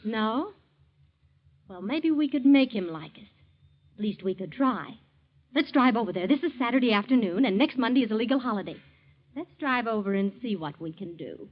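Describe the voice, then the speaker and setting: A woman's voice: fairly high pitch, lively intonation, normal speed. A woman playing a scheming, cold-blooded young wife in a 1940s radio drama, insistent and urging. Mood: questioning, scheming. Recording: muffled 1940s radio recording.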